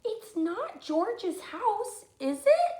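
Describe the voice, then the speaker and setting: Storybook role: plucky, protesting small girl, read by a woman, high and lively, roomy sound. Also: drawn-out words, full character voice. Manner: protesting, emphatic. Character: assertive little girl standing up to a bossy boy.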